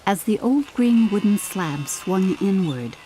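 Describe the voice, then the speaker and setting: A woman's voice: medium pitch, animated, unhurried, mellow, soft background music, poor audio. A woman reading a scripted, dramatic story as an audiobook narrator.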